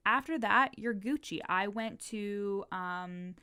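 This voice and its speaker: A woman's voice: medium pitch, slow pace, pushed and bright, clean tone. A young woman in her twenties hosting a casual solo podcast, who has a stutter, swears freely and fills her speech with "like". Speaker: podcast host and business coach.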